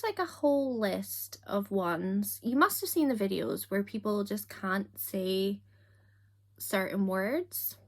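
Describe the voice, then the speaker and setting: A young woman from Scotland whose accent drifts toward standard British, talking straight to camera in a relaxed vlog. She is chatty and self-deprecating, with a giggly edge. Her pitch sits mid-range for a woman. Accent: Scottish, softened toward standard British. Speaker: YouTuber. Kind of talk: casual chat to camera.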